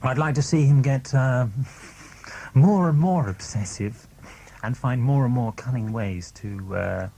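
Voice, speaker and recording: A man's voice: medium pitch, measured pace, vocal fry, dark tone. A man chatting casually as an actor in an interview, in a joking, rambling way. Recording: slight background noise.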